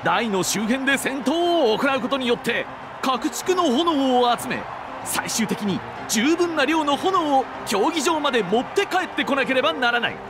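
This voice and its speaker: Very high-pitched, excited arena announcer. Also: male voice, normal speed. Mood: emphatic explaining. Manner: emphatic, projected, formal. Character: excited male tournament announcer, bold and theatrical. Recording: game cutscene under loud music.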